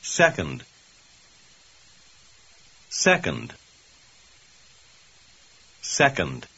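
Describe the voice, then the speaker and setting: Very slow, bright-toned male model speaker. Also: low voice, huge pitch swings, slightly rough. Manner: slow, deliberate, carefully enunciated. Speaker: model speaker in a language course. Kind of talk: slow model phrases for repetition. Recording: dull lo-fi course audio.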